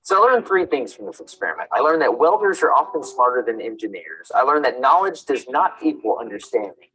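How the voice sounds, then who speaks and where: A man from the United States tells his own experiment story, laughing at himself as he goes. His voice is bright and a little husky, and his pitch swings up and down. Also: low-quality recording. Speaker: science video host. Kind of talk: video narration with on-the-spot commentary.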